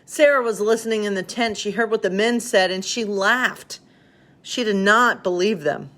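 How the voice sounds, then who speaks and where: A woman with a mid-pitched voice speaks as a pastor hosting bedtime stories. She moves between casual, slightly rambling chat and simple storybook reading for children. She addresses her listeners informally, as friends. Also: moderate pace, fairly bright tone.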